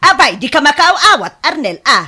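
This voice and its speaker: A woman's voice: sits high, very animated, moderate pace, bright, forceful tone, exaggerated voice. A woman playing a headstrong, scheming middle-aged mother in a radio drama, speaking emphatically and insistently. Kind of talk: Ilocano radio drama acting.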